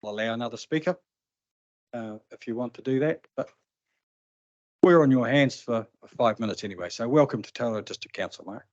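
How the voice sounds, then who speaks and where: A man's voice: mid-range voice, brisk, no echo. A New Zealand man chairing a council committee meeting in a plain, informal way. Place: council chamber. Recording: slightly dull, dry council meeting recording.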